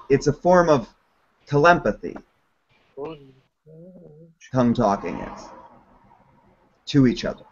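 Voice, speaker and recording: Slow, laid-back young man on a video call. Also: medium pitch. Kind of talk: casual video call chat. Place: dim room at home. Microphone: webcam on a video call. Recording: dull lo-fi video call audio.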